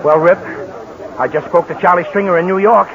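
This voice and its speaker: An actor playing a practical, coaxing sidekick in a 1940s radio drama. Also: male voice, sits high, fairly even intonation, brisk. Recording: lo-fi 1940s radio broadcast with hiss.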